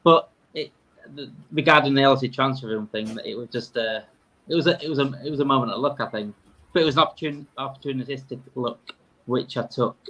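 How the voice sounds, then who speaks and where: A man's voice: medium pitch, fairly quick, fairly bright tone, low-quality recording. A man in his thirties with a Yorkshire accent, talking casually as a guest in a conversation. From Yorkshire, England. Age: 30s. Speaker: podcast guest, fan podcaster.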